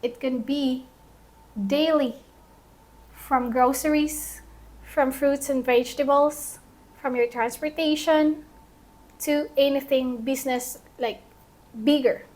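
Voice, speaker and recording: High-voiced woman presenting. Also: steady tone, takes it slowly, long pauses, darkish tone. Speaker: vlog co-host. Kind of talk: presenting a numbered list to camera.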